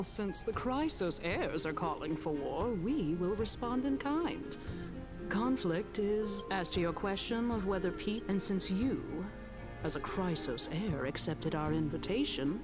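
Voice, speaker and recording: A stately, calculating female council elder from a fantasy game, speaks in a fairly low voice over clear background music. Her delivery is formal, silky and measured, with courteous theatrical poise. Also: lively intonation. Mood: courteous, sly. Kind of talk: scripted fantasy game dialogue.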